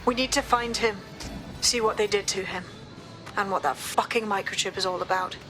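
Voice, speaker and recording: A woman's voice: medium pitch, moderate pace, music in the background, lightly acted. A woman playing a tough, cocky hacker operative in a video game, speaking bluntly and sardonically. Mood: determined.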